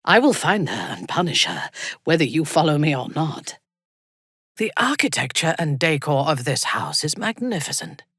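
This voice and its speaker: An actress playing an imperious, ruthless female drow commander in a video game, haughty and measured, with menace and seduction. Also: deep voice, sing-song, a bit bright, husky, dry recording, studio quality, exaggerated voice. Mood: resolute. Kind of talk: scripted video game voice acting.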